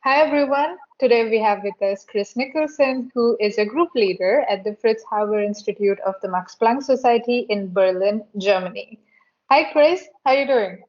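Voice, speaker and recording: A woman's voice: on the high side, moderate pace, darkish tone, soft background music. Woman hosting a science podcast, friendly, kinda muffled audio. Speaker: podcast host. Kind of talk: casual interview. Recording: dull lo-fi audio.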